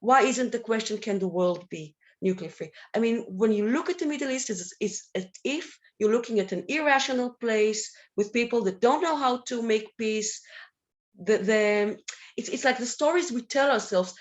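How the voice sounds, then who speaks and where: Passionate higher-voiced woman panelist. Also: lively intonation. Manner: earnest, passionate, quick with repeated restarts. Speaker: panel speaker for a peace treaty organization. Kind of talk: online conference panel talk.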